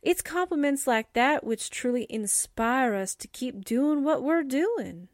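A woman from a radio cast delivers a scripted thank-you. Her pitch is very high and her pace slow. The sound is dry and free of echo. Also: lively intonation. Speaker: radio show cast member.

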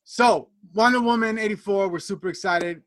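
A man's voice: sits high, normal speed, a bit bright, a little hiss or hum. A man in his forties co-hosting a podcast, chatting casually with slangy emphasis and steering the conversation along. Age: 40s. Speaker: podcast co-host. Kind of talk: casual fan chat, reviewing and reacting.

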